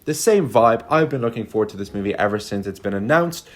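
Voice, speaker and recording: A man's voice: fairly low pitch, lively intonation, quick pace. A young man from Lithuania, a native Russian speaker, talking casually and effusively as an online reviewer, with emphatic swearing. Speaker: YouTube film reviewer. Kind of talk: casual video chat.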